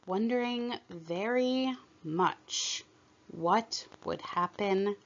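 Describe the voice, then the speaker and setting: A woman's voice: medium pitch, very slow, muffled recording. A woman storyteller reading a scripted children's narration aloud.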